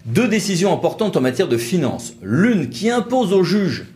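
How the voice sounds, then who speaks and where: A man's voice: high-pitched, big pitch swings, moderate pace, darkish tone, slight background noise. Man presenting a legal news review. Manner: didactic, emphatic, conversational asides. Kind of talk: brisk explanatory commentary with interview questions.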